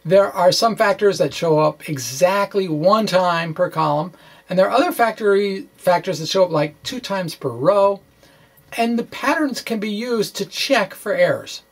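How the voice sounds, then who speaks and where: A man explaining physics in an informal walkthrough, thinking aloud in a halting way and correcting himself, with wry asides. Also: sits high, expressive. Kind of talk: informal math walkthrough, reading and ad-libbing.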